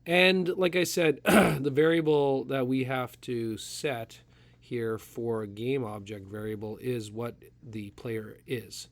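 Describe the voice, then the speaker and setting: Casting: man with a slight cold, relaxed tutorial instructor at a desktop mic, talks through each step. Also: medium pitch, takes it slowly. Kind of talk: casual screen-recorded coding tutorial.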